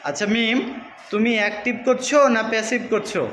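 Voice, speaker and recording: A woman schoolteacher with a Bangladeshi accent leads an interactive lesson. Her voice is bright and projecting, and she encourages pupils with short prompts. The room rings with echo, and there is some noise behind her. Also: on the high side, steady tone, a little fast. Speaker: school teacher. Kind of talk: interactive classroom teaching. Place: indoor school classroom. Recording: echoey, noisy classroom recording.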